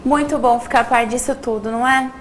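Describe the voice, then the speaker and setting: A woman's voice: fairly high pitch, fairly even intonation, fairly quick, a little hiss or hum. A woman, interviewing and giving short, polite cues to go on. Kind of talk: brief interview prompts.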